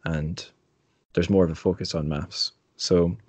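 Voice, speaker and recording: A man with a low voice hosts a casual literary discussion. He speaks conversationally as an interviewer. The sound is dry, with very little room in it. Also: level tone, unhurried, breathy edge, no room sound. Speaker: podcast host.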